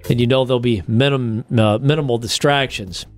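A man's voice: mid-range voice, fairly even intonation, a little fast, soft background music. Man reading sex tips with wry asides. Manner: reads items aloud with wry asides, swears casually. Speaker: podcast co-host reading tips. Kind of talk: casual comedic chat.

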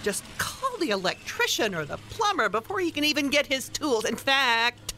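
A woman's voice: high voice, sing-song, normal speed, sharp tone, a little hiss or hum, full character voice. A woman playing a bossy, teasing older grandmother in a radio play, dryly exasperated with her husband and scolding in a no-nonsense way. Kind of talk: small-town radio play acting.

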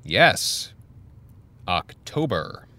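A man playing a patient but firm high school counselor, measured and earnest, in a fiction podcast. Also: sing-song, sharp tone, exaggerated voice. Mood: firm, concerned. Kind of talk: scripted audio drama dialogue.

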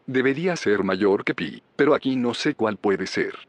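A man's voice: mid-range voice, expressive, moderate pace, natural voice. A man playing an eager, easily amazed scientist in an audio drama, speaking earnestly and technically. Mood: fascinated. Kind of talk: Spanish audio fiction acting. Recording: clearly noisy background.

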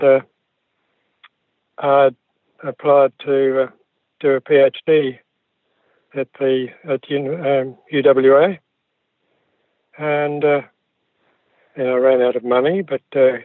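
A man's voice: mid-range voice, no room sound. An older Australian man, a guest, recalling his life in a hesitant way, with many ums and restarts, heard over a phone. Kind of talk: relaxed recollection of his life. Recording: dry, tinny phone line.

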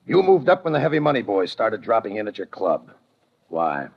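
Deep, hard-boiled homicide cop. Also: male voice, sing-song, normal speed, breathy edge, full character voice. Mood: needling, tough. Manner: clipped, sarcastic, tough-guy delivery. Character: hard-boiled male police homicide lieutenant, sardonic and relentless. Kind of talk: 1950s radio crime drama acting. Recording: muffled old 1950 radio recording.

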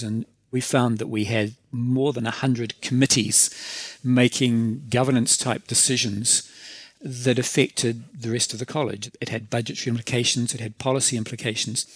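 A man with a New Zealand accent giving deliberate, methodical interview answers about the organisation he leads, laying out his points in series. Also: mid-range voice, no room sound. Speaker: college council leader.

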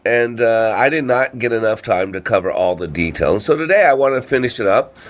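A man hosts a motivational radio show in a congested, mid-pitched voice. He talks informally, putting rhetorical questions to the listener and checking in with a quick "right?" as he goes. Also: lively intonation. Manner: asks rhetorical questions, frequent "you know" and "right?". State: congested with a cold or allergies. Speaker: radio show host and trainer.

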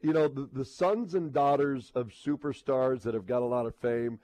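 A man's voice: medium pitch, normal speed, darkish tone, no room sound. A man hosts sports talk radio, speaking off the cuff. His voice is mid-range and his delivery effusive, stopping and restarting and repeating phrases for weight. The recording has very little room sound.

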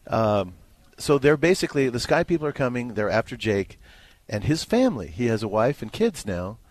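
A man's voice: mid-range voice, sing-song, normal speed, dry recording. Man reviewing movies in a dry studio. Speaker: film critic.

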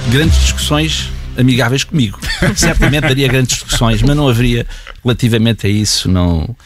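A man's voice: mid-range voice, brisk, music in the background. An older man with a European Portuguese accent, answering interview questions hesitantly, with frequent restarts and fillers. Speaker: politician guest.

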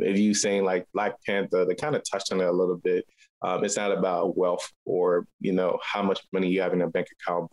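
Low-voiced, even-toned man from Liberia. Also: rough recording. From Liberia, West Africa. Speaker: interviewee, local artist. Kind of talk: conversational interview answers.

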